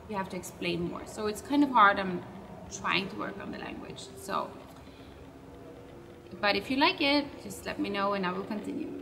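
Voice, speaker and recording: A chatty woman, answering fan questions in a loose, rambling vlog. She stops to correct her own words as she talks. Her voice sounds set back from the mic, with a clear layer of background noise. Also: mid-range voice, recorded from a distance. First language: Swedish. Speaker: web show host.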